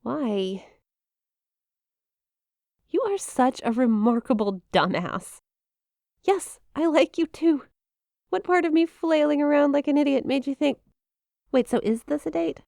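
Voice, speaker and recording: Woman acting a shy, slow-talking monster girl. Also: high voice, lively intonation, vocal fry, darkish tone, no room sound, studio quality, exaggerated voice. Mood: flustered, teasing. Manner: bashful, teasing, hesitant, flustered stammering. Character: shy, playful young female eldritch shapeshifting monster classmate. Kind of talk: ASMR roleplay, intimate one-sided conversation.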